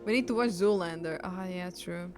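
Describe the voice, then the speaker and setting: Lower-pitched woman streamer chatting. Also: normal speed. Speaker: game streamer. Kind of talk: casual livestream chat and reaction. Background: game music.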